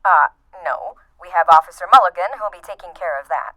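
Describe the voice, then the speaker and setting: A woman plays a businesslike small-town mayor in a radio comedy serial. Her voice is bright and sharp, closely recorded and dry. She is curt, deadpan and unimpressed. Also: on the low side, brisk, no room sound, low-quality recording. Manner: curt, matter-of-fact, deadpan.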